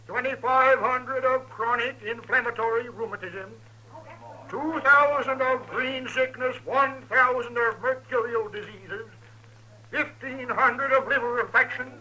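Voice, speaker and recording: High, bright, bombastic medicine-show huckster. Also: male voice, unhurried, slightly rough, exaggerated voice. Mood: grandiose. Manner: grandiose, oratorical sales pitch. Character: bombastic, smooth-talking medicine-show quack professor. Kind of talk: 1950s radio western acting. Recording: noisy old radio show recording.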